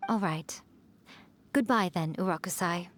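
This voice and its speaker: A woman's voice: fairly low pitch, a little slow, slight background noise, natural voice. A woman voicing a centuries-old fox spirit in an anime video game, sly, smug and playfully teasing. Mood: fond. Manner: teasing, self-satisfied, poised.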